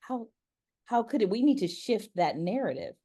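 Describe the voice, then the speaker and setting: A woman's voice: medium pitch, big pitch swings, normal speed, dark tone, slightly rough. An American woman speaking as an interviewer in conversation, emphatic and repeating phrases to make her point. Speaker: interviewer and voice-acting community leader.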